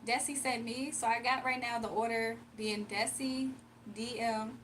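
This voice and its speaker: A woman's voice: fairly high pitch, fairly even intonation, a little slow, a bit bright, a little hiss or hum. Chatty woman hosting live card readings. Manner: chatty, reads out viewer comments, laughs often. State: slightly strained, recovering voice. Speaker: livestream card reader. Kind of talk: casual live-stream chat and readings.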